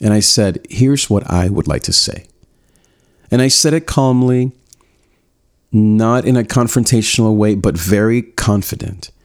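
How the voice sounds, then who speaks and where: A man's voice: on the low side, measured pace. A man giving social skills advice in a casual spoken lesson, reflecting on a personal story and often correcting himself as he talks. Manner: reflective, self-correcting, acts out quoted lines.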